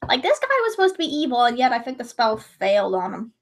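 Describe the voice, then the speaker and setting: A woman's voice: fairly high pitch, big pitch swings, fast. A young woman chatting casually while drawing on a livestream, rambling and correcting herself, her voice a little strained. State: voice gone high and strained.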